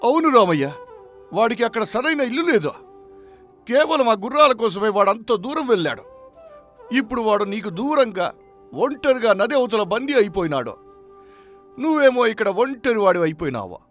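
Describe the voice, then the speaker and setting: A man's voice: very high-pitched, very animated, brisk, long pauses, fairly bright tone, soft background music, heavily acted. A man playing an agitated, blunt village neighbour in a story, with rustic, exclamatory, lamenting speech. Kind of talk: moral-story dramatization.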